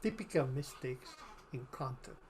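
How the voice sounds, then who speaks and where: Hungarian-accented man lecturing, mellow voice. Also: mid-range voice, animated, a little hiss or hum. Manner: halting, with repeated words and fillers. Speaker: professor giving a webinar lecture. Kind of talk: online lecture over slides. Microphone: video call.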